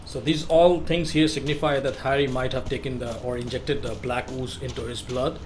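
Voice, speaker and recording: Mid-pitched man hosting a game walkthrough. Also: steady tone, normal speed, slight background noise. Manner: explanatory, informal. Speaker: gameplay walkthrough host. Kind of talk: casual walkthrough commentary.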